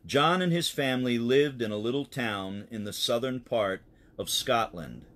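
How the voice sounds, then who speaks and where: A man reads a story for children in a medium-pitched voice. He sounds like a pastor explaining things simply, with deliberate phrasing and small clarifying asides. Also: animated, a bit bright.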